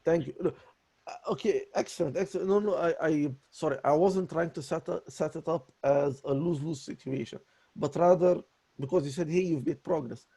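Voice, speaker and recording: A man in a voice lesson with a raspy voice, talking in an apologetic, halting way with many restarts. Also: fairly high pitch, warm tone. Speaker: voice student. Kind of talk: voice lesson conversation.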